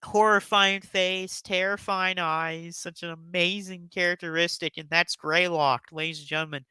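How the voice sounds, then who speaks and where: A man's voice: high voice, fairly even intonation, normal speed, pushed and bright, smooth, dry recording. A man hosting a casual, enthusiastic countdown commentary, speaking in an emphatic, effusive and rambling way. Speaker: YouTube host giving a top ten countdown.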